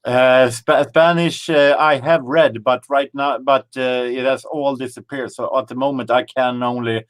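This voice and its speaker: Swedish-accented man, hesitant, lots of fillers, relaxed video-call chat guest. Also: mid-range voice, clear, resonant tone. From Sweden. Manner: hesitant, halting with many fillers.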